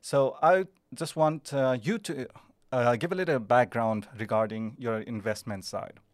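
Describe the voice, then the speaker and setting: A man hosting a podcast interview, introducing his guest in a hesitant way with many pauses. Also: medium pitch, no room sound, studio quality. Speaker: podcast host.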